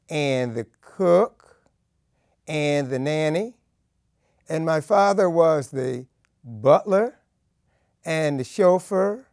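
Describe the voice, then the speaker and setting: A man in his seventies from Connecticut with an American accent, telling his life story in a deliberate, measured way, in short phrases. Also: a little high, creaky, a little mellow, dry recording, clean studio sound. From Connecticut, United States. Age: 70s. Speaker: law professor and civil rights lawyer. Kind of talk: personal oral history storytelling.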